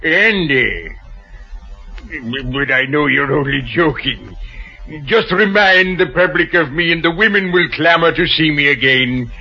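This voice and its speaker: An actor playing a vain, middle-aged washed-up matinee idol, florid and ingratiating, in an old radio drama. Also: male voice, a little high, animated, unhurried, bright, forceful tone, soft background music, exaggerated voice. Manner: florid, hammy, smooth-talking. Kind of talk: 1940s radio drama acting. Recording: muffled old radio recording.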